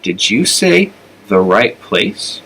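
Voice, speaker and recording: A man's voice: fairly low pitch, sing-song, slow, vocal fry, fairly bright tone, full character voice, poor audio. A man voicing an eager, hopeful young female pig in a children's storybook reading. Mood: puzzled.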